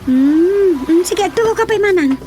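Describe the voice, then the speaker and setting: A woman's voice: very high-pitched, lively intonation, moderate pace. A woman acting as an eager, respectful daughter who leads a village group, in a radio drama with an Ilocano accent. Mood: lively, welcoming. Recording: radio drama with music behind.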